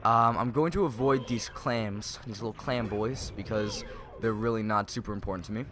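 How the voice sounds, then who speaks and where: A man's voice: lowish voice, normal speed, a bit bright. A teenage young man giving casual, rambling, jokey commentary over a video game playthrough. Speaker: gaming YouTuber, host of a playthrough series. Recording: game music behind the voice. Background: video game music.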